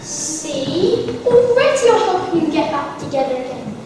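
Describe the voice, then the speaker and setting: A young girl playing a brisk, self-assured, eccentric doctor in a school play. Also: high-pitched, very animated, a little mellow, soft background music. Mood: excitable. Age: child or young pupil. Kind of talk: scripted school assembly acting. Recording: echoey school hall, distant mic, noisy.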